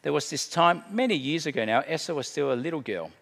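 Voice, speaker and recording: A middle-aged man preaching a sermon, emphatic, swinging between hushed and loud delivery. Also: fairly high pitch, lively intonation, fast, gravelly. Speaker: pastor. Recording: long hall reverb.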